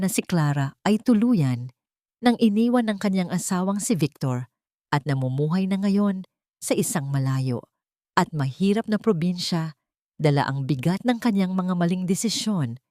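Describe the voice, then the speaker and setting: A woman with a Filipino accent narrating a scripted dramatic story in a measured, solemn way, in short phrases. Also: lowish voice, a little mellow, no echo, studio quality.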